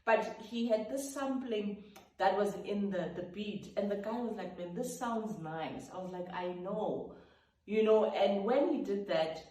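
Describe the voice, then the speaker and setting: A woman's voice: medium pitch, measured pace, mellow. A woman, a gospel singer-songwriter telling the story behind her song in a reflective, casual way. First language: Sotho. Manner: reflective, breaks into sung snippets and vocal sounds. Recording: echoey room, mic at a distance.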